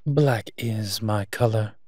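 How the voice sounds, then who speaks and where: A man playing a wry, teasing monster who partners a human detective in a roleplay audio drama, speaking with hums and pauses. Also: fairly low pitch, a little mellow, no echo, clean studio sound. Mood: wry, impatient.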